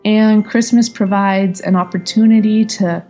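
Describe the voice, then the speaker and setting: Mellow-voiced woman sharing personal memories. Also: mid-range voice, fairly even intonation, a little slow. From United States, California. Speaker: podcast guest contributor. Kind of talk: prepared personal reminiscence. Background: music bed.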